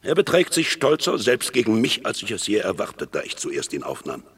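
Husky, brooding enemy commander. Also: male voice, fairly low pitch, huge pitch swings, fairly bright tone, exaggerated voice. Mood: brooding resentment. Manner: measured, weighty, brooding. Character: proud, brooding enemy commander, resentful yet controlled. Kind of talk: classical radio drama.

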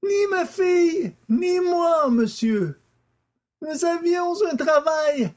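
High-voiced, plaintive old scientist. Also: male voice, darkish tone, heavily acted. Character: elderly scientist father, earnest witness under questioning. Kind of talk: audiobook character acting.

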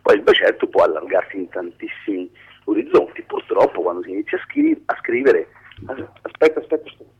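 Deep, husky man, halting explainer. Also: huge pitch swings, dull audio. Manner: halting, rambling, self-interrupting explanations. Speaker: screenwriting teacher being interviewed. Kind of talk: informal radio phone interview.

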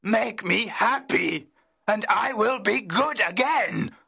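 A wretched, man-made monster, acted by a man in an audiobook, his voice deeply gravelly and husky. He speaks slowly and bitterly, lonely and full of brooding menace. The tone is bright and edged. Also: full character voice. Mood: pleading bitterly. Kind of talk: audiobook character voice.

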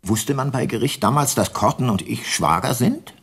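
An actor playing a weary, guilt-laden ageing private detective and former prosecutor in a radio play, sober and reflective. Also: male voice, mid-range voice, expressive, normal speed, a bit bright, no echo. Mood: probing. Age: older. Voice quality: husky. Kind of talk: first-person radio play narration and dialogue.

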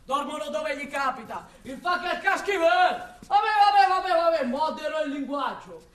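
A woman's voice: high voice, lively intonation, moderate pace. A woman playing a blustering, defensive male building foreman, rambling and interrupting herself in a radio drama monologue. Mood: nervous, evasive, then irritated. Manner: agitated, rambling, self-interrupting. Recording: echoey room, distant mic.

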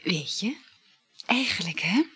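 A woman's voice: medium pitch, sing-song, slow, sharp tone, husky, full character voice. An actress playing a flighty, teasing, sensual woman with a lover in a Dutch radio drama, murmuring playfully and capriciously. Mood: coy, dreamy. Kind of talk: Dutch radio drama acting, intimate dialogue.

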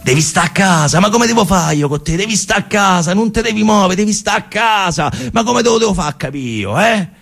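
A man's voice: sits high, lively intonation, fairly quick, vocal fry, hardly any pauses, fairly bright tone, faint music behind, voice pushed up, exaggerated voice. A man voicing an exasperated, anxious father who scolds and pleads with his teenage son in a central Italian, Roman-area accent.

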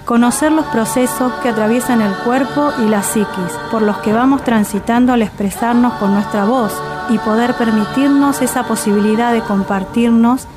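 A woman's voice: on the high side, normal speed, hardly any pauses, music in the background. Woman hosting community radio. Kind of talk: radio interview and read introduction.

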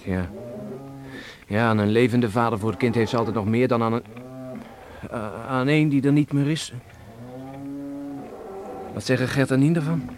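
A man's voice: mid-range voice, fairly even intonation, normal speed, long pauses, close to an everyday voice. A slightly noisy old radio drama recording of a cheeky, easygoing village sailor played by a man. He speaks, folksy and soothing. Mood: shaken, grave. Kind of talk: radio drama acting in dialogue.

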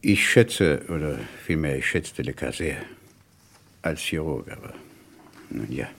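A man acting a grave, discreet professor of surgery in a German radio crime drama, gravelly and husky, speaking formally with careful hesitations. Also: a bass voice, expressive, unhurried, full character voice. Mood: reserved, careful.

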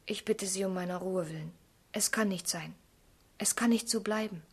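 An actress playing a tender, troubled young woman in a radio drama, with a breathy, husky voice and earnest delivery. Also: medium pitch, normal speed. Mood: nervous pleading. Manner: earnest, pleading, sometimes dropping to a whisper. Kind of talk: German literary radio drama acting.

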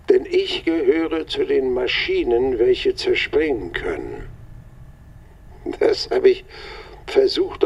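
An actor playing an older radio-play maker who looks back drily on his work, halting and wry. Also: medium pitch, darkish tone, full character voice. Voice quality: husky, gravelly, breathy. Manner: slow, halting, wry recollection. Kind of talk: radio drama monologue.

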